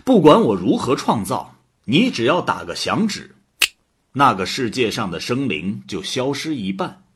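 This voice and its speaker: A man's voice: medium pitch, very animated, a little slow, fairly bright tone, slightly rough, exaggerated voice. A man playing an earnest creator god, proud of his creations, in a theatrical audio drama style. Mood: protesting.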